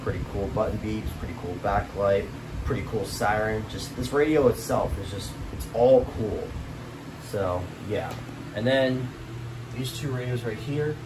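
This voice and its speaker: A man giving a casual, meandering show-and-tell of a collection, filmed on a handheld camera in a small bedroom. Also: on the low side. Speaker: hobbyist collector showing a collection. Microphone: handheld camera, behind the lens. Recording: distant mic, loud room hum and noise.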